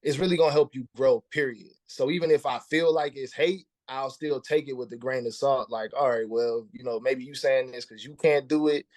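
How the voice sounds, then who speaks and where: A man's voice: medium pitch, moderate pace, few pauses, a little mellow, dry recording. A man in his thirties, a musician guest talking casually in an interview, laid-back and slangy, often repeating words as he starts a thought. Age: 30s.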